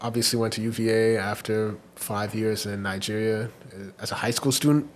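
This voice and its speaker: A young man from Washington, D.C., a guest on a podcast, telling his story in relaxed, rambling chat with plenty of fillers. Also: fairly low pitch, normal speed, slight background noise. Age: young adult. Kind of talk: casual interview chat.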